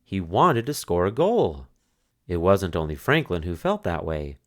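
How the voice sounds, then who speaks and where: A man reading a children's picture book aloud as narrator, in expressive storytelling style. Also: sits low, measured pace.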